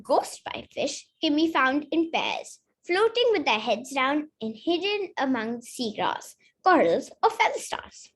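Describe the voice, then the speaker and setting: High, lively female storybook reader. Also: measured pace, few pauses. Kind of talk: read-aloud of a children's picture book.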